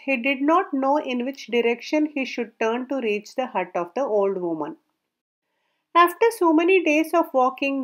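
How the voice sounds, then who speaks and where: A woman narrating a folk tale aloud in a measured storybook reading, her sentences falling at the ends. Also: high-pitched.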